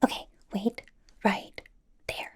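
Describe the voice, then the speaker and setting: A woman playing a bubbly, nervous, supportive young pop idol in a breathy, whispered ASMR roleplay, rambling and reassuring as she goes. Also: slow, darkish tone, close to an everyday voice. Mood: gentle, chatty. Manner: rambling, giggly, reassuring, hesitant.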